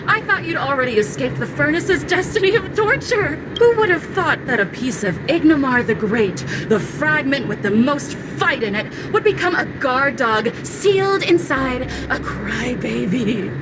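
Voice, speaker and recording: A woman's voice: sits high, noisy background, exaggerated voice. A woman playing a mocking, sadistic fire spirit in a video game, theatrical and taunting.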